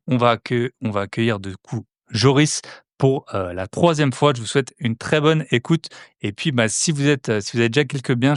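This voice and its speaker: A young man with a sing-song accent hosts a podcast in a mid-pitched voice. The recording is close and dry, with no room sound. He rambles casually, drops "euh" everywhere, and slides into an eager sales pitch. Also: dry recording. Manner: chatty, many "euh" fillers, sales-pitch enthusiasm. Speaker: podcast host. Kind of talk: casual podcast monologue and promo.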